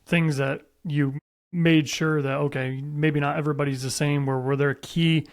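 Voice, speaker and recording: A man's voice: a little high, level tone, normal speed. A man hosting a podcast, chatting casually as he interviews a guest about a sport. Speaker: podcast host.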